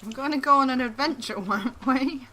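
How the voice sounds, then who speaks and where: A woman chatting in a relaxed, dry way while co-hosting a video game playthrough at home. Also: sits high, fairly quick, slight background noise. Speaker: gaming co-host. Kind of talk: casual let's-play chat.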